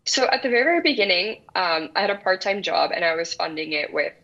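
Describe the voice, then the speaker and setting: A woman's voice: on the low side, lively intonation, quick pace, sharp tone. A woman from El Salvador, in her thirties, answering interview questions earnestly with frequent restarts. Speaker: guest founder. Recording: dull lo-fi sound.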